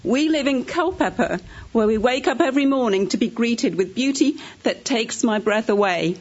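A woman with an English accent speaks publicly in a prepared testimonial. Her voice is somewhat high, and the recording is slightly muffled. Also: animated, normal speed, a little mellow. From born in Scotland, raised in England. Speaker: new citizen.

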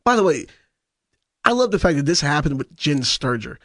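A young man co-hosting a sports radio show, his soft voice strained and weak as he jokes his way through casual banter at a studio mic. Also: on the high side, big pitch swings, moderate pace, no room sound. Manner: self-deprecating, joking. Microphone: studio microphone.

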